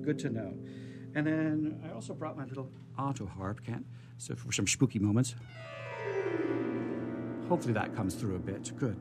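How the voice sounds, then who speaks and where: A man hosting a live radio show, rambling playfully off the cuff with theatrical phrasing. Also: on the high side.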